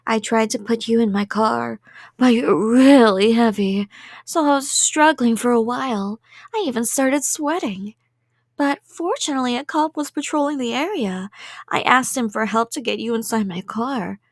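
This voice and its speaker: A woman's voice: on the high side, normal speed, no echo, clean studio sound. A woman playing a cheerful, delusional, obsessive kidnapper in a rambling ASMR roleplay, sweet and playful and drifting into whispers. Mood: breezy rambling.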